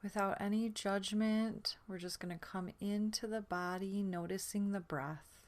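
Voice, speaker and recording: A woman leading a guided sleep meditation in a gentle, soothing manner, her soft voice often trailing into a breathy near-whisper. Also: mid-range voice, fairly even intonation. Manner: soothing, gentle, unhurried with long pauses.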